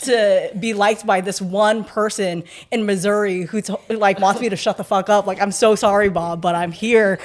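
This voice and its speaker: A young woman with a fairly deep voice for a woman, chatting casually as a podcast guest and acting out what people say to her. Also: moderate pace, few pauses. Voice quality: deeper voice for a woman. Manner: animated, acts out quoted remarks with swearing.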